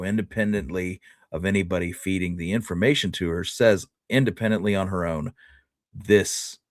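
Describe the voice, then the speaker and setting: A low-voiced man co-hosts a casual history discussion. He picks his words tentatively, rephrasing and qualifying as he goes, and the sound is dry and close to the mic. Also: no echo. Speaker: co-host of a history discussion show.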